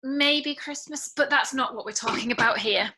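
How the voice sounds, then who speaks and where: Bright, high, bewildered young woman actress. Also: moderate pace, a little hiss or hum. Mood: puzzled. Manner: blurting, questioning. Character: bewildered, blunt woman in her late twenties. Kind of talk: scripted table read. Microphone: video call.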